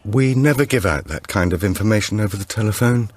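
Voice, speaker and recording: A man's voice: fairly low pitch, quick pace, dry recording, pitched above the performer's own voice, lightly acted. A man voicing a guarded policewoman who breaks bad news in a careful, formal, restrained way in an audiobook. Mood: guarded, mechanical.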